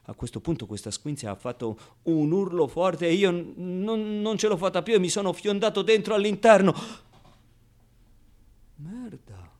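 A man's voice: high-pitched, darkish tone. A man playing a streetwise, wry and wounded ten-year-old orphan boy in a theatrical radio monologue, talking in slangy, colloquial bursts and muttered asides. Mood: agitated, amazed. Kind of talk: theatrical radio monologue, first-person storytelling.

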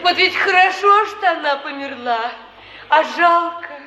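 A woman's voice: high-pitched, expressive, a little slow, bright, forceful tone, full character voice. An actress playing a downtrodden, timid young slum woman, plaintive and resigned, in a 1950s Soviet stage drama. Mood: mournful, resigned. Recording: echoey theatre hall, background hiss.

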